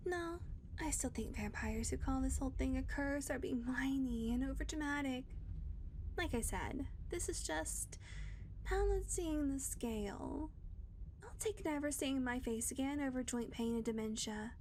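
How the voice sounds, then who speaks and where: A woman voicing a blunt, foul-mouthed female vampire in an audio roleplay, talking sarcastically and dropping into soft murmurs. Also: high-pitched, measured pace, slight background noise. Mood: musing.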